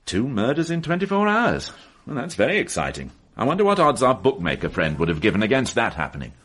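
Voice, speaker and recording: A man's voice: medium pitch, wildly swooping pitch, fast talker, a bit bright, husky, full character voice. An actor playing a dry, ironic male police inspector in a radio drama, speaking in a sardonic way.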